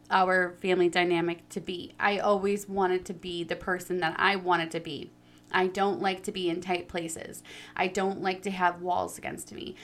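A woman's voice: mid-range voice, fairly bright tone. A young adult woman speaking candidly about her own life, earnest and emphatic, stretching out her stressed words. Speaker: personal storyteller. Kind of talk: candid personal talk.

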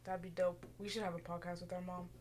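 A woman's voice: fairly low pitch, little pitch movement, quick pace, darkish tone. A woman co-hosting a podcast in casual, joking banter, rambling and playfully sarcastic. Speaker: podcast co-host.

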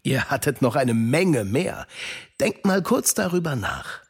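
A man voicing a wise, trusting small companion of children in a children's audio drama, animated and reassuring. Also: medium pitch, measured pace, slightly rough, heavily acted. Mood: reassuring, earnest.

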